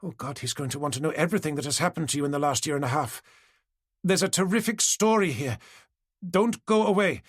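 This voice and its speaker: A man's voice: brisk, no room sound, clean studio sound, lightly acted. A man voicing a glamorous, hysterical mother. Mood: urgent, excited. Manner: breathless, rising to shrill cries. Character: anxious glamorous middle-aged woman, hysterical mother.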